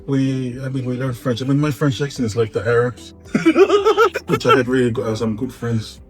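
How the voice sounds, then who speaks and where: A man with a thick Tongan accent speaks in a relaxed, reflective way, pausing and restarting as he recalls things. He is middle-aged and sounds like a guest in an easygoing interview. Faint music plays quietly behind him. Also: mid-range voice. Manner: relaxed, reflective, some hesitations. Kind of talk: casual conversational interview.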